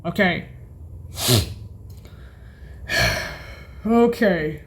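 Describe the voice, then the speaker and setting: A woman presenting a halting instructional demonstration, hesitant and often correcting and repeating herself. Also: on the low side, sing-song. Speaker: music practice presenter.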